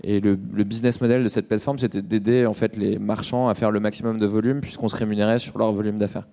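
A man's voice: lowish voice, level tone, quick pace, mellow, big-room sound. A man in his thirties from France talking casually and informally in an interview, with slangy, hesitant phrasing. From Nantes, France. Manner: informal and slangy, hesitant with many trailing tags. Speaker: startup co-founder, podcast guest.

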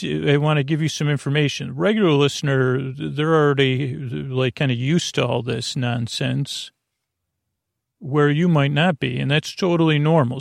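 Creaky-voiced man rambling through a bedtime monologue. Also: on the high side, no room sound, clean studio sound. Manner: meandering, repetitive, halting, full of tangents. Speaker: podcast host.

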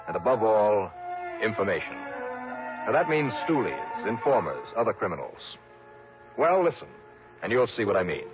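A man plays a no-nonsense investigator from a vintage radio serial. He is wry and confident, with a mid-pitched voice. The recording is narrow and dated, with music in it. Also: close to an everyday voice. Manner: crisp, wry, conversational. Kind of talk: old radio drama acting, first-person narration. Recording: band-limited old radio recording, music.

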